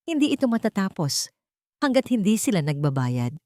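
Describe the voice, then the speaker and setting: A Filipino woman reading a first-person drama story aloud in a measured, earnest way. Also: medium pitch, very animated, darkish tone, dry recording, clean studio sound. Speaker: audiobook narrator.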